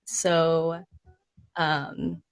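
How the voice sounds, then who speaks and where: A young woman from San Diego hosting a casual livestream interview, friendly and quick to laugh. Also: sits low, little pitch movement, gravelly, no echo. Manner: friendly, laughs often, many "nice"s. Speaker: host.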